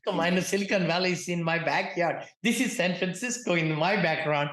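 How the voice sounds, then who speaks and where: A man's voice: high voice, fairly quick, fairly bright tone, echoey room. A man hosting a podcast interview in a casual, chatty way, leaning on "you know" fillers. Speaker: podcast host. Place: home in the Bay Area.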